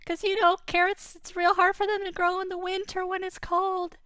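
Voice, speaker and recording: A woman voicing an excitable small male rabbit who talks in a squeaky, breathless rush. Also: normal speed, dry recording. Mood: worried.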